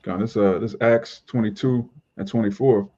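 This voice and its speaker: A man's voice: lowish voice, fairly even intonation, moderate pace, dark tone. Dull-sounding recording of man reading scripture. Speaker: scripture reader. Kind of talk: reading scripture aloud in group study. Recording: dull lo-fi audio.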